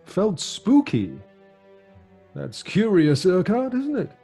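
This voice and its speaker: An actor with a British accent playing a middle-aged father who tells grim tales in a measured, genial way for an old-fashioned radio drama. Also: sits high, huge pitch swings, dark tone, husky, soft background music, exaggerated voice. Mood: genial, teasing. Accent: British English.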